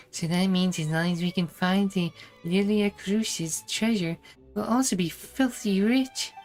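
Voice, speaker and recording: An actress voicing a small, childlike fairy companion in a video game, excitable, cute and greedy. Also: female voice, medium pitch, slow, faint music behind, natural voice. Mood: excited, greedy. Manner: childlike, exclaiming, speaks of self in third person.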